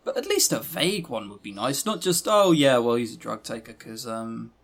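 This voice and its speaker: A man's voice: mid-range voice, sing-song, moderate pace, fairly bright tone. A man co-hosting a podcast, giving casual critical commentary in a sardonic, exasperated manner. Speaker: podcast co-host.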